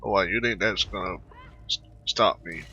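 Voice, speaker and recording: A man giving casual, halting commentary over a video game playthrough in short muttered reactions. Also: deep voice, muffled recording, over music. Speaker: gaming video maker.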